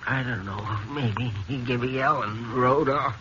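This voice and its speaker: An actor playing a wounded, bewildered Old West townsman, breathy and weak, gasping out halting rustic speech in 1950s radio drama. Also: mid-range voice, sharp tone. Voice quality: breathy, full of air. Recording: muffled, noisy 1950s radio recording.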